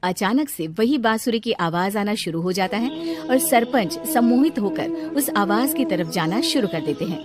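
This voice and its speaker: A woman's voice: medium pitch, sing-song, moderate pace. A woman narrating a scripted cartoon story as the storyteller. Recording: music behind the narration.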